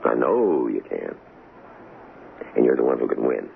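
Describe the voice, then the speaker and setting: An actor in a radio drama playing a shrewd, folksy, cynical political kingmaker with a glib, pushy patter and a gravelly voice. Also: male voice, rumbling low, big pitch swings, unhurried, full character voice. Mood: rousing, persuasive. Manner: glib, wheedling salesman's patter, wry. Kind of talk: old-time radio drama acting. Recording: muffled, noisy old radio broadcast.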